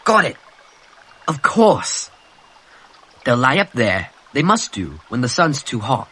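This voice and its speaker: Brief: bossy teen hunter boy, keyed up and loud, read by a man. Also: mid-range voice, big pitch swings, slow pace, slightly rough, heavily acted. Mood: excited. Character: bossy eager teenage boy hunter leader.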